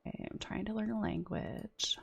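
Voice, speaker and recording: A woman streaming a strategy game and chatting casually, a bit sniffly from a runny nose, often dropping into soft whispered asides. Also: medium pitch, sing-song, normal speed, creaky, breathy edge, lo-fi sound. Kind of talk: casual gameplay commentary.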